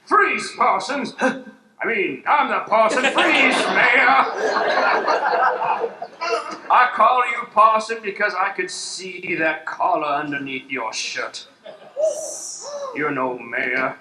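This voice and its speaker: A man's voice: high-pitched, slow pace, pauses often. A man playing a God-fearing gunslinging parson in an improvised Western radio play, declaiming solemnly in folksy frontier phrases on an echoey, noisy stage. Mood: confronting. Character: God-fearing male gunslinging parson of the Old West, righteous. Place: live improv stage with audience. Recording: echoey stage hall, background noise.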